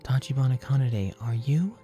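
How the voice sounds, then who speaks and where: A man voicing a delicate, timid young girl in a soft, breathy, earnest way for an audiobook. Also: mid-range voice, expressive, normal speed, faint music behind, voice pushed up, natural voice. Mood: quiet, timid. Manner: soft, breathy, whispered delivery. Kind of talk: dramatic audiobook character voice.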